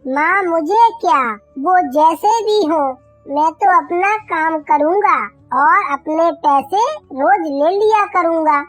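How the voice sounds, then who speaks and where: Lady doing a sweet honest lil boy bird, super high voice, slow n polite, cartoon style. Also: no echo, soft background music. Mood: cheerful. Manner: polite, earnest. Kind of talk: Hindi children's cartoon dialogue.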